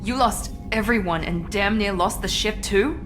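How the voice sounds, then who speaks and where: A woman's voice: mid-range voice, moderate pace, close to an everyday voice. An actress playing a stern, demanding female starship officer with an assertive manner in a video game. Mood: angry, accusing. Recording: music behind the voice.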